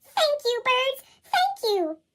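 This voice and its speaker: Woman playing earnest young storybook fox, caring and anxious, piercingly high chipmunk effect, big pitch swings. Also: sing-song, takes it slowly, heavily acted. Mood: grateful. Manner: earnest, pleading, exclamatory.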